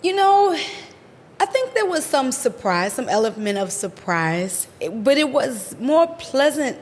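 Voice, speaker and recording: A woman with an American accent, a guest in an interview, telling her own story in an expressive, animated way. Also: high voice, unhurried, in a large hall, slight background noise. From USA.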